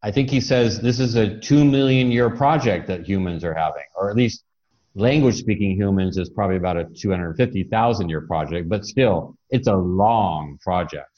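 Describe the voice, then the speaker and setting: Low-voiced male host, dull lo-fi sound. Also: moderate pace, darkish tone. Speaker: interview host. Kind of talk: thoughtful conversational interviewing. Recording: dull, band-limited lo-fi audio.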